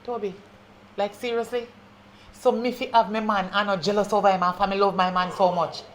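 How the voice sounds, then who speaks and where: A woman's voice: medium pitch, level tone, a little fast, slight background noise, lightly acted, rough recording. A woman with a Jamaican accent playing a jealous, hot-tempered young woman who barks curt orders in patois. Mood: threatening. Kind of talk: low-budget patois drama.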